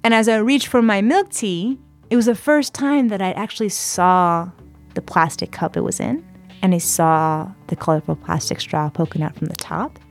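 A woman's voice: medium pitch, normal speed, soft background music. A woman in her twenties from San Diego, telling her story in a chatty interview style full of "like" and "uh". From San Diego, California, US. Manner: chatty, frequent fillers like "like" and "uh". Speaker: guest.

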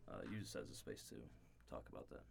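A man's voice: brisk, slight background noise. A man in his twenties co-hosting a casual podcast from a dorm room, earnest and explaining at length with fillers. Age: 20s. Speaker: podcast co-host. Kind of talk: casual chat.

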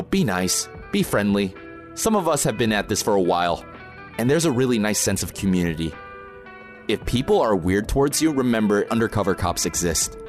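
A man playing an experienced, protective protest organizer in an audio drama, plain-spoken, informal and matter-of-fact. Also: fairly low pitch. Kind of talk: naturalistic audio drama acting.

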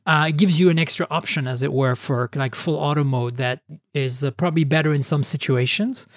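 A man's voice: on the high side, brisk, dry recording, studio quality. A man hosting a casual tech podcast, chatting in an opinionated, emphatic way. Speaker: podcast host.

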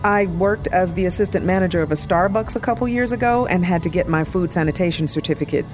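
A woman's voice: fairly low pitch, quick pace, music in the background, lightly acted. An actress playing a practical, fussy young city woman in audio fiction, earnest and casual in manner. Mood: earnest, apologetic.

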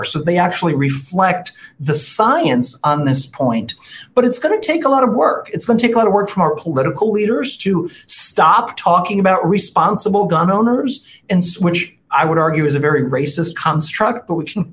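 A guest expert in a political interview, speaking in a measured way, citing research and adding frequent "right?" tags. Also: mid-range voice.

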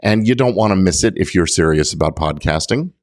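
A man's voice: low voice, animated, a little fast, no room sound, clean studio sound. A middle-aged man hosting a podcast, explaining things step by step to listeners with emphasis on key words. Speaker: podcast host; lawyer.

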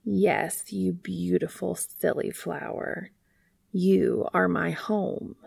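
A woman voicing an earnest, devoted male bee in a storybook, speaking softly, tenderly and deliberately. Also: fairly low pitch, creaky, breathy edge, dry recording, full character voice. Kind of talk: storybook character reading.

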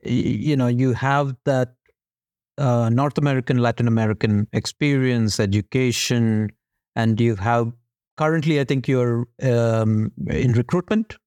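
A man's voice: mid-range voice, fairly even intonation, measured pace, darkish tone, no room sound, clean studio sound. A young man hosting an interview podcast, chatting casually in a friendly, rambling way full of fillers. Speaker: podcast host.

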